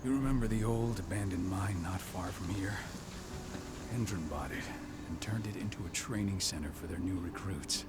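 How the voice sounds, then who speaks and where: An actor playing a stern, wounded veteran werewolf leader in a video game, grave and measured with a breathy, weary voice. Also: male voice, low voice, lightly acted. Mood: grim, resolute. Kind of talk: video game cutscene acting. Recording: loud background noise.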